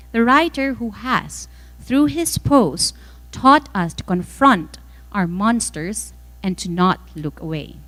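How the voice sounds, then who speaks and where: A woman delivers a prepared welcome address in a medium-pitched voice. Her intonation is lively and moves widely up and down. She phrases each thought deliberately and dramatically, leaving measured pauses between phrases. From the Philippines. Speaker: event speaker reading welcome remarks on another's behalf. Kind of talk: scripted speech, inspirational welcome address.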